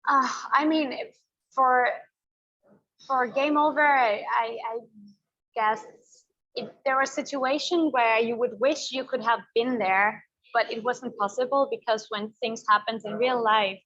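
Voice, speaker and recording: A woman's voice: on the high side, fairly even intonation, unhurried, a bit bright. A woman documentary filmmaker answering thoughtfully in a festival interview, often searching for words. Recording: dull lo-fi audio.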